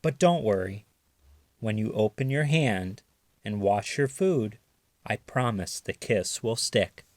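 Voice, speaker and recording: A man voicing a gentle, reassuring mother raccoon in a tender, soothing manner for a children's storybook. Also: lowish voice, lively intonation, takes it slowly, no room sound. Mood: gently teasing.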